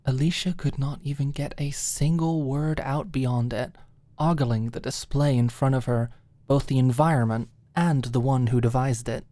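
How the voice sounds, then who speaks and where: A woman's voice: a bass voice, steady tone, normal speed, dark tone, no room sound. A woman reading a scripted story as an ASMR audiobook narrator.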